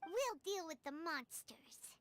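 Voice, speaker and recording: A woman's voice: extremely high pitch, animated, slow, background noise, exaggerated voice. A woman voicing a small, childlike fairy companion in an anime game, caring and chatty. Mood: worried, caring. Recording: music behind the voice.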